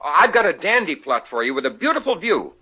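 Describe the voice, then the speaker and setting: A man's voice: fairly high pitch, sing-song, a little fast, slightly rough. An actor playing an eager, bumbling young salesman in a 1950s radio comedy. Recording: dull lo-fi old radio broadcast.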